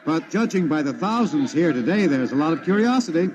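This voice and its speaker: An actor playing an excitable radio reporter in a 1950s radio drama, addressing listeners with an announcer's showmanship while covering a grand parade. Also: male voice, on the high side, brisk, lo-fi sound, lightly acted. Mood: chatty, genial. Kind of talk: 1950s radio drama acting, live event commentary. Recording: noisy 1950s radio broadcast recording.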